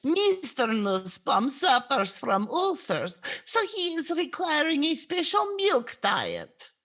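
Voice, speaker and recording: A woman's voice: high voice, takes it slowly, exaggerated voice. A woman playing a long-suffering, complaining housewife with inverted phrasing, in 1940s radio comedy style. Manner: inverted syntax, dramatic deadpan punchlines. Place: indoors on a video call.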